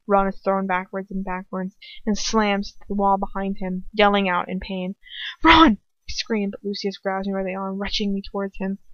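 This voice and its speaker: A woman narrates a dramatic story in the first person at a middle pitch, emotive and a little halting. She stumbles and restarts now and then. The sound is dry and slightly dull, like a lo-fi home recording. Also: completely flat delivery, no echo. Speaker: amateur fan fiction reader.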